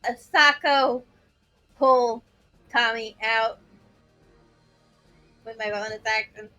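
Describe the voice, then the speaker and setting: A woman's voice: a little high, steady tone, slow pace, sharp tone, soft background music. A woman chatting casually as a player in a tabletop game.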